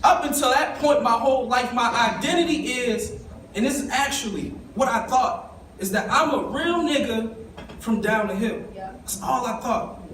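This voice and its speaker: A man's voice: very high voice, normal speed, a bit bright, slight background noise. A young man from East gives an emotional, conversational talk about his own life and speaks directly to his audience. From East Baltimore. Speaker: summit speaker. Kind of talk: personal storytelling speech. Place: conference hall. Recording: echoey room, voice from a distance.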